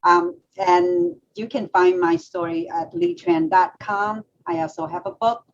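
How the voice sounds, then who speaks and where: A woman co-hosting a casual talk show, lively, laughing and restarting her phrases, heard over a video call. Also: fairly low pitch, a little slow. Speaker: co-host. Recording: slightly dull lo-fi audio with faint background noise.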